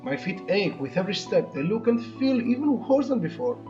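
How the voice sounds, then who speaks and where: Very animated man reading game narration. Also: sits high, fairly quick. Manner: corrects co-player's words. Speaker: let's play co-host. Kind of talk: reading visual novel narration aloud with casual asides. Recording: muffled lo-fi audio with music. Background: game music.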